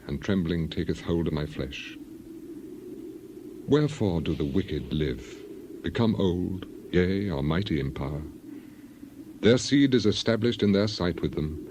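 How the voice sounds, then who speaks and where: Casting: suffering, defiant ancient man in scripture drama; impassioned declamation, deep and highly animated, clear background noise. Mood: troubled.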